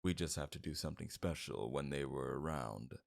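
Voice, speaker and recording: A man playing a smug, flirtatious and menacing mob boss in an ASMR roleplay, with a suave, teasing delivery and a gravelly voice. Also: rumbling low, full character voice. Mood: probing, flirtatious. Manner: teasing, suave, drawn-out flirtation with veiled threats. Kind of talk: ASMR roleplay, scripted character acting.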